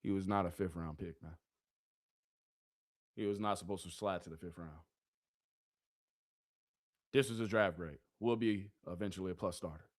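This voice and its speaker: A man hosting a live watch-party stream, hoarse from yelling, talking animatedly to his chat and repeating catchphrases. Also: sits low, normal speed, long pauses.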